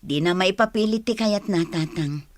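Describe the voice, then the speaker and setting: A woman's voice: lowish voice, normal speed, no room sound, lightly acted. A woman with an Ilocano Filipino accent playing a stern, practical village mother in a comedy drama. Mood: matter-of-fact.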